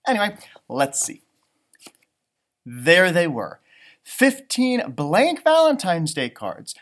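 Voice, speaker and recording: A man hosting a storytime for children, reading and chatting in a theatrical, halting, conversational way. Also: high-pitched. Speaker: storytime host and teacher. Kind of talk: children's storybook read-aloud with chatty asides.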